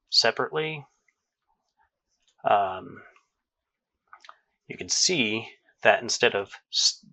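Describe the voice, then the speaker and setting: A man's voice: on the low side, bright, forceful tone, highs cut off. A man presenting a hobby electronics project in an explanatory tutorial style. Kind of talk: tutorial narration and screen demonstration.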